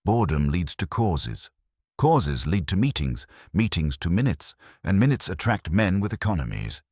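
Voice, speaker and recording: A man voicing a prim, shrewd older woman, genteel and dryly amused, with crisp, precise speech in a storybook reading. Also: low voice, big pitch swings, normal speed, a little mellow, no echo, studio quality, full character voice. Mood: pleasant, dry.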